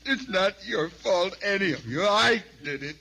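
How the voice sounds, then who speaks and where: An actor playing a gentle, naive man tormented by guilt, halting and anguished, in 1950s radio drama style. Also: high voice, expressive, creaky, exaggerated voice.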